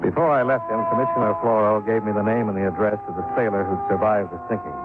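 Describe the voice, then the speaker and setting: Noisy tinny old radio, male actor as hard-nosed American investigator, clipped, dry, skeptical, lowish voice. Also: steady tone, quick pace, loud music behind the voice, natural voice. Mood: narrating, matter-of-fact. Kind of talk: 1950s radio detective narration and dialogue.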